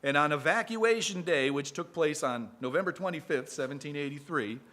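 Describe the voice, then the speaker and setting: A man reading a prepared talk to a live audience as a speaker for a historic site, measured and steady. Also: fairly high pitch.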